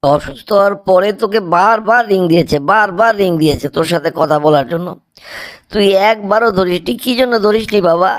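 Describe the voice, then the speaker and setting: A man's voice: high-pitched, fairly quick. A man playing a grieving older father in an amateur cartoon, plaintive and reproachful.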